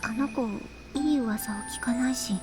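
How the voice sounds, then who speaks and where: A woman's voice: sits high, quick pace, music in the background, natural voice. A woman playing a cautious, gossipy teenage schoolgirl in a Japanese visual novel. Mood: hushed warning.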